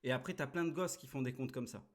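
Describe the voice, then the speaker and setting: Brief: man, 30s, host, blunt and crude, mid-pitched, casual reaction talk. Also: quick pace.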